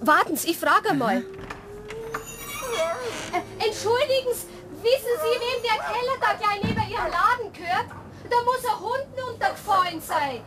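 A woman playing an excitable, compassionate passer-by with a Bavarian accent, insistent and indignant, in a German radio play. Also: extremely high pitch, moderate pace.

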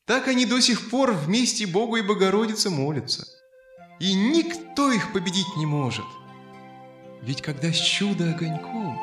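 Very animated male fairy-tale storyteller, slightly high. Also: echoey room. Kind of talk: expressive scripted fairy-tale narration for children.

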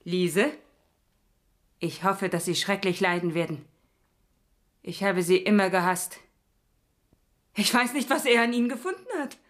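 An actress in a radio play playing an unhinged, possessive murderess, taunting and dramatic, turning from sweet to venomous. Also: female voice, medium pitch, measured pace, long pauses, fairly bright tone, natural voice. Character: unhinged murderess, possessive, mocking, chillingly sweet about killing.

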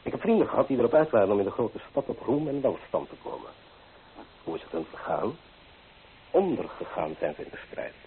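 An actor playing an earnest, stubborn and ambitious small-town architect in a Dutch radio drama. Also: male voice, medium pitch, big pitch swings, a little fast, natural voice. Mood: defiant. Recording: tinny, hissy old radio play recording.

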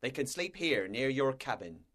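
Storybook sea captain, acted by a male reader, stern, distrustful, blunt, commanding, mid-pitched. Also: big pitch swings, normal speed, fairly bright tone. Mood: firm. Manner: curt, commanding. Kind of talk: storybook character dialogue.

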